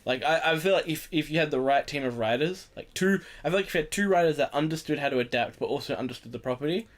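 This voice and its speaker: A man's voice: fairly high pitch, quick pace. A man hosting an informal group chat on a podcast, often restating his points for emphasis. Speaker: podcast host.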